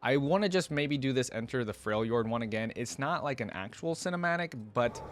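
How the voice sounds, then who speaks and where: A man's voice: medium pitch, lively intonation, fairly quick. A man hosting a casual reaction video, musing aloud in an informal way. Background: music from the video being watched.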